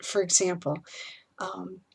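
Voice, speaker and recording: A woman speaking as an author, gently instructive, explaining and reading aloud in a measured storytelling style. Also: on the low side, fairly even intonation, creaky, breathy edge. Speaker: author and speaker on pet loss.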